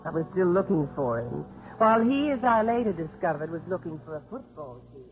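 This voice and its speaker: Prim court officer, muffled old radio. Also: female voice, fairly low pitch, big pitch swings, fairly quick. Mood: composed. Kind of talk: 1950s radio drama narration and dialogue. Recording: muffled old radio recording, music behind.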